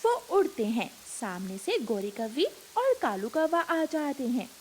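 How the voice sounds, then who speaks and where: A woman's voice: on the high side, sing-song, a little slow, slight background noise. A woman reading scripted narration for a children's cartoon story.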